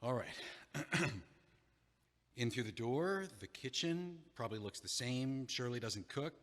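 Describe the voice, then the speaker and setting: A nervous, wry man who stammers and rambles, played by a man. His voice sits at a middling pitch and carries a long reverb. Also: lively intonation, slow, a bit bright. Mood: rambling. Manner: hesitant, rambling, self-deprecating. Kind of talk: audio play acting.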